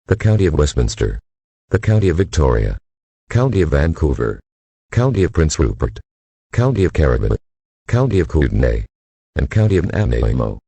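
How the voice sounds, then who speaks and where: A man's voice: extremely low pitch, mellow, slightly rough, no echo. A man delivering a scripted emergency broadcast announcement in a formal, stilted manner.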